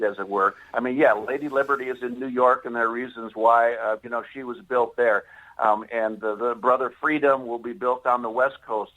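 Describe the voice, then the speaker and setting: An American man speaking as an interview guest, with formal, deliberate, oratorical phrasing in an earnest tone. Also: medium pitch, a bit bright, poor audio. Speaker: author and interview guest promoting a monument project. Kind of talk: earnest live interview talk.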